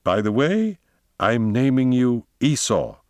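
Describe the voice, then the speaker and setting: Man performing lonely domineering storybook master, theatrical, patronizing, commanding, raised pitch, dry sound. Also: very animated, slow, a little mellow, dry recording. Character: lonely domineering man, patronizing, menacing, maudlin with his dog.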